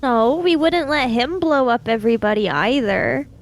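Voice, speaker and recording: A woman's voice: fairly high pitch, expressive, moderate pace. A woman playing a blunt, moral young hero in a tabletop roleplay, plain-spoken and dry. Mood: earnest arguing. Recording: faint background noise.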